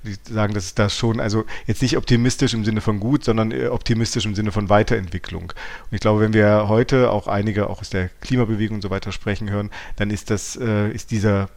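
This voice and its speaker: A man with a fairly low-pitched voice co-hosts a talk podcast. He speaks in a reflective, analytical way, thinking aloud and often correcting himself mid-sentence. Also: fairly even intonation, a little fast, darkish tone. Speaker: podcast co-host. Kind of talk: reflective philosophical discussion.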